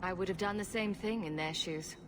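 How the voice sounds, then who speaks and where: A woman's voice: on the low side, brisk, close to an everyday voice. An actress playing a bold female rogue hero who speaks in a wry, direct way. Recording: game audio with loud ambient noise.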